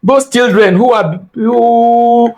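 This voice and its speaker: A man's voice: extremely high pitch, steady tone, slow pace, a little mellow. An older man from Nigeria with a Nigerian accent and Yoruba as his first language, speaking as a guest scholar in an interview in an emphatic, lecturing way that repeats words for stress. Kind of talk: reflective interview talk.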